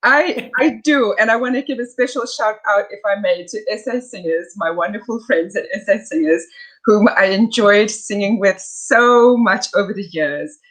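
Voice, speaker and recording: A middle-aged South African woman, a soprano, talking warmly as a guest in a conversational interview over a video call, with many fillers. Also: mid-range voice, moderate pace. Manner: warm, gracious, many fillers.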